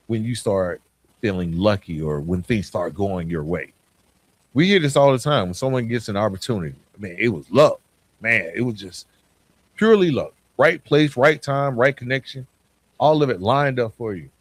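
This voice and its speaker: A male podcast host delivers a motivational self-improvement monologue. His mid-pitched voice moves widely up and down for emphasis, while his phrasing stays conversational.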